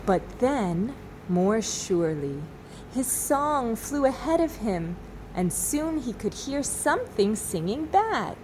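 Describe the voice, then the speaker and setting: Slow-paced woman reading a children's story. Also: medium pitch, a little hiss or hum, rough recording. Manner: slow, dramatic pauses, asks listeners questions. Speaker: teacher reading a story aloud. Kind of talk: expressive children's storybook read-aloud.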